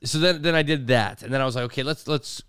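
A man in his thirties co-hosting a casual podcast, talking in an animated way and acting out quoted voices. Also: mid-range voice, fast talker, smooth, no room sound, studio quality. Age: 30s. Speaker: podcast co-host.

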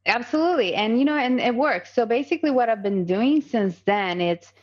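A woman's voice: on the high side, animated, fairly quick, muffled recording. A woman in her thirties from Colombia with a Colombian accent, a native Spanish speaker, talking earnestly as a podcast guest with many fillers and restarts. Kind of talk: casual podcast conversation.

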